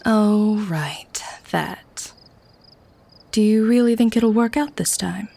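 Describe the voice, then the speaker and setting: A woman acts as a cynical vampire lady who runs her mortals like a warden. She is teasing, nonchalant and a little haughty, speaking at a middling pitch in an intimate ASMR roleplay manner. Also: takes it slowly, gravelly, dark tone. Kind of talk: ASMR audio roleplay acting.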